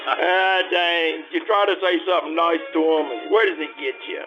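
A man's voice: fairly high pitch, moderate pace, dull audio, over music, close to an everyday voice. An actor playing a dim small-time crook, just out on parole, who talks slangy tough-guy street talk with bad grammar. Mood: wheedling. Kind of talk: 1950s radio drama acting.

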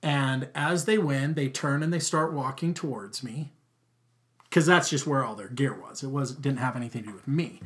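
Emphatic middle-aged man with a loud voice. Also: medium pitch. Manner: emphatic, storytelling, repeats key words. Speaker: podcast guest, father. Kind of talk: casual podcast conversation.

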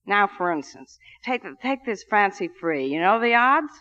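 Flighty, bright-voiced gangster's moll, old radio. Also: female voice, medium pitch, sing-song. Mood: chatty. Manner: rambling, halting, repeats herself. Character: flighty, needy middle-class gangster's moll who bets horses. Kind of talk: 1940s radio acting. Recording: dull 1940s radio broadcast recording.

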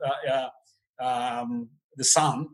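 A man, speaking English as a guest in a casual interview, halting with many fillers and restarts and a dry humor. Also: medium pitch, steady tone, poor audio. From Azerbaijan. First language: Russian.

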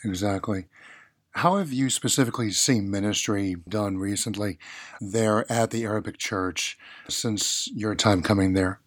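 A male interviewer asks questions in a polite, affirming way. His voice is on the low side, and it is recorded close and dry, with no room sound. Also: unhurried, no echo.

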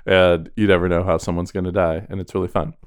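A man's voice: low-pitched, little pitch movement, fast talker, smooth, no echo, clean studio sound. A man co-hosting a podcast in casual chat, talking in a chatty, self-deprecating way. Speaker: podcast co-host.

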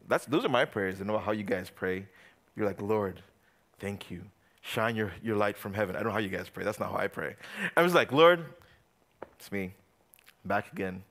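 A young man from Uganda preaching to a youth group in a joking, animated way, swinging from loud bursts to quiet asides. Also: mid-range voice. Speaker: youth pastor.

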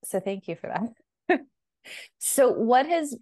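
A woman hosting an interview over a video call, curious and chatty, asking quick, friendly questions. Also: on the high side, animated, warm tone. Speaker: interviewer, host. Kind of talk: casual interview talk.

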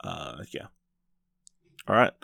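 A man's voice: slow, pushed and bright, no echo, clean studio sound. A man hosting a podcast, casually introducing each segment and handing over to his co-host.

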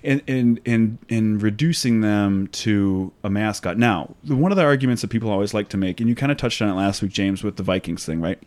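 A man's voice: on the low side, fairly quick, hardly any pauses, a little mellow. An American man in a casual, opinionated discussion, speaking emphatically and often checking for agreement. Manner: emphatic, conversational, often asks for agreement with "right?".

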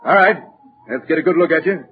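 An actor playing an excitable, suspicious cemetery caretaker with a cracked, hoarse voice, in 1940s radio acting style. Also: male voice, a little high, fast, slight background noise, close to an everyday voice. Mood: triumphant, suspicious. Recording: tinny old 1940s radio recording.

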